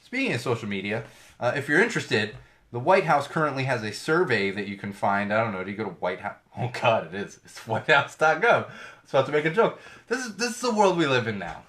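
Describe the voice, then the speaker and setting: A man of middle pitch hosts his own talk show, riffing casually into a studio mic. His voice is a little off, and he slips into sarcastic, profane rants when something annoys him. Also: lively intonation, fast talker, a bit bright. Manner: ranting, sarcastic, swears freely. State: voice slightly off from an ear infection. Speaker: podcast host.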